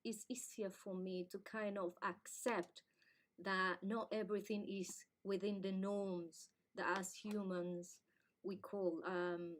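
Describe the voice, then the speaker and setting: A woman, talking live into a webcam as podcast co-host. She speaks at a middle pitch, in a chatty, personal way. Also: level tone, slow. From Dominican Republic. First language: Spanish. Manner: chatty, warm, personal storytelling with fillers. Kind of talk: casual live podcast chat. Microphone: webcam on a live video stream.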